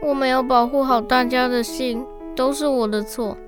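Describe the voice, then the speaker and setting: A woman's voice: high voice, steady tone, normal speed, music in the background, lightly acted. A woman voicing a shy, earnest little puppy boy who delivers mail in a children's audio drama, childlike, eager and sincere. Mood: apologetic.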